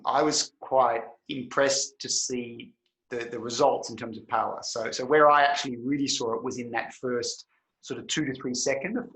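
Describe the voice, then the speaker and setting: A man's voice: medium pitch, fairly bright tone. An Australian man hosting an interview, asking long, rambling questions with frequent restarts. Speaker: podcast host. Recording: echoey room.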